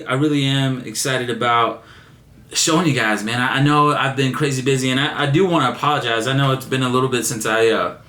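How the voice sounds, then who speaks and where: Young male vlogger, energetic and casual, a little raspy, echoey room sound. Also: mid-range voice. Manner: excited, repeats words, says man a lot. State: still a bit raspy after laryngitis. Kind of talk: casual vlog chat to camera.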